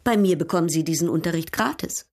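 An actress playing a worldly, teasing older baroness in a radio drama, polished, condescending and coquettish. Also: female voice, sits low, fast talker, darkish tone, breathy edge. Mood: coquettish, persuasive. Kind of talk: German radio drama acting.

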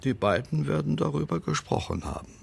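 Gravelly, formal older man. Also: a little high, very animated, a little hiss or hum, heavily acted. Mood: measured, discreet. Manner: measured, formal, pausing between phrases. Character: formal, discreet older male confidant recounting family secrets. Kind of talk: radio drama dialogue.

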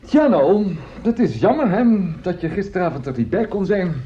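A man's voice: high-pitched, big pitch swings, moderate pace, mellow. An actor in a radio play as a boastful, jovial, germ-shy opera singer who chats effusively and repeats his words. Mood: confiding. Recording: dull, lo-fi old radio recording.

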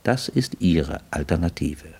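An actor playing a shrewd, commanding investigator in a crime radio play, clipped, sardonic and authoritative. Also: male voice, rumbling low, expressive, a little slow, a little mellow, slightly rough, no room sound, heavily acted.

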